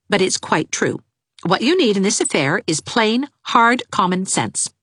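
A woman voicing a cool, sensible young woman teacher who speaks in a brisk, matter-of-fact way. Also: medium pitch, fairly bright tone, dry recording, clean studio sound, voice pushed up. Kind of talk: audiobook character voice.

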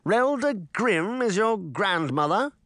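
A man's voice: fairly high pitch, sing-song, moderate pace, a bit bright, dry recording, clean studio sound, voice pushed up. A man voicing a pompous, sneering male mayor who bullies everyone around him, with a raised, condescending voice.

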